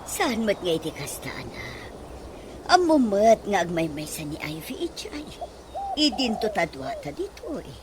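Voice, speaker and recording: A woman's voice: mid-range voice, big pitch swings, a little slow. An actress playing a middle-aged mother who chides and soothes her daughter in a radio drama. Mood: placating. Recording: noisy, with ambient sound.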